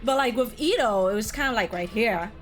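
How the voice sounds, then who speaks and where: A woman's voice: a little high, lively intonation, fairly quick, music in the background. A woman co-hosting a casual gaming discussion, talking informally as she ranks and debates. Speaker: host of a gaming tier list stream.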